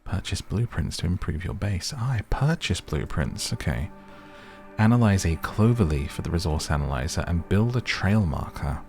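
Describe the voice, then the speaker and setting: A man giving relaxed ASMR gameplay commentary, musing gently and whimsically to the listener. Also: sits low, normal speed, soft background music. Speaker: gaming video host.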